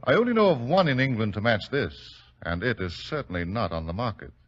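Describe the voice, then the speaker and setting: An actor playing a suave, shrewd aristocratic villain and collector, silky, over-polite and probing, in 1940s radio drama style. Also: male voice, mid-range voice, animated, fairly quick, lightly acted. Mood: suspicious probing. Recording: muffled vintage radio recording.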